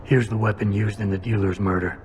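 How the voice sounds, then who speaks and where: Man playing a low, stoic game investigator. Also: little pitch movement, brisk, a little mellow, slight background noise, lightly acted. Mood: matter-of-fact. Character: stoic gruff male cyborg investigator, terse and dryly confident. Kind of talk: video game voice acting.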